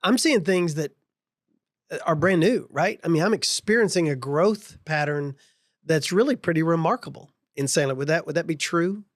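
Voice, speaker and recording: A man's voice: on the high side, moderate pace, no echo, clean studio sound. A man hosting an interview podcast in a conversational style. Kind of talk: conversational interview with scripted show intro.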